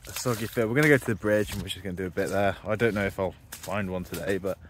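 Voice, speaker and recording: Low-voiced man thinking aloud outdoors. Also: quick pace, slight background noise. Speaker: vlogger. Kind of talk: casual outdoor vlog narration. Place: outdoors on a farm by a ditch and fields.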